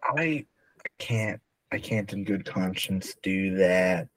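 Man, slightly low voice, dry close recording, casual quizmaster, measured explanations. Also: dry recording, poor audio. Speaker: podcast co-host, quizmaster. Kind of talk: casual quiz hosting.

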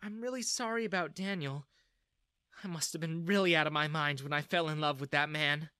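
A man doing a gentle apologetic mother. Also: a little high, fairly quick, smooth, no echo, clean studio sound. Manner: soft, apologetic.

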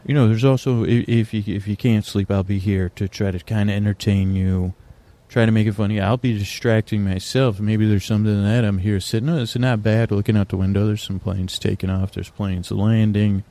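A man hosting a sleep podcast, talking in a meandering, halting way full of restarts and fillers, recorded on location in a quieter corner of an airport. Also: fairly low pitch, steady tone. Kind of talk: rambling bedtime podcast talk.

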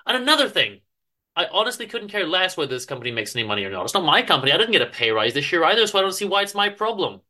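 Man acting principled male office worker, earnest, indignant, bright tone, animated pitch, story reading. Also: racing, exaggerated voice. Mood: indignant rant.

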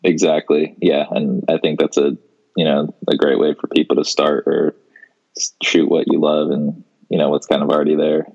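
A man's voice: extremely low pitch, level tone, moderate pace, vocal fry, a little mellow, slightly rough, muffled recording. A man in his twenties from Seattle, a guest on a podcast, talking in a laid-back way with many fillers and restarts. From Seattle, Washington, US. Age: 20s. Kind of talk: casual interview chat.

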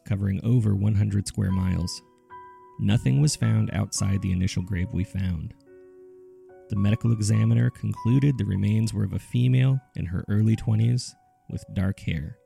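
A man hosting a story podcast, reading scripted mystery case files in a measured, serious storytelling manner. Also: lowish voice, creaky, dark tone. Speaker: podcast host and narrator.

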